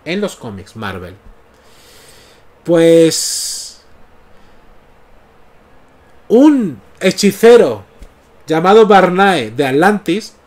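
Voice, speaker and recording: Canarian streamer guy, fairly high voice, casual chatty comic talk on a headset mic. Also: sing-song, very slow, long pauses, a little mellow. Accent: Canarian Spanish. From Canary Islands. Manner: chatty, often checks in with "¿vale?". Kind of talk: casual livestream commentary. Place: small room at home, indoors. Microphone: gaming headset mic.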